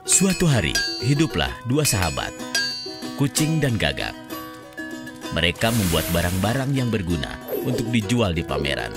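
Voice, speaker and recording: Deep-voiced animated male storyteller. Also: normal speed. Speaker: narrator. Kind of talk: scripted storybook narration. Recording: loud music underneath.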